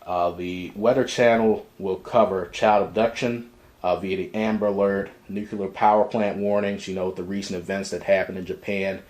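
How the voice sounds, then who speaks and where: A man's voice: sits low, fairly even intonation, normal speed, darkish tone. A man giving a casual, step-by-step product demonstration in an explanatory way, recorded in a room indoors. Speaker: product reviewer.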